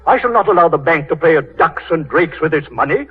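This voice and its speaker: An indignant, stubborn old banker, gruff and emphatic. The husky voice leaps around in pitch. It is heard through a tinny, narrow 1930s broadcast. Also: male voice, expressive, fast. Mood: indignant, blustering. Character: blustering, stubborn, indignant older British bank governor. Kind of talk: 1930s radio drama acting. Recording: tinny, band-limited 1930s radio recording.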